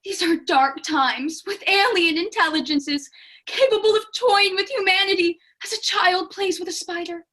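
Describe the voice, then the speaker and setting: A woman's voice: extremely high pitch, normal speed, bright, forceful tone. A young woman playing an earnest, precise scientist's assistant with a grave, ominous delivery in a melodramatic radio play. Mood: ominous, frightened. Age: teens to young adult. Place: bedroom, video call.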